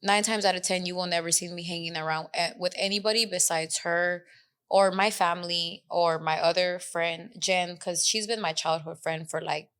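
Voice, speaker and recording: A woman's voice: fairly low pitch, fairly even intonation, moderate pace, fairly bright tone, clear, resonant tone. A woman in her twenties with a Mexican American accent, talking earnestly in a casual podcast chat as co-host. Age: 20s. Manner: earnest, switches into Spanish mid-sentence. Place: Dallas.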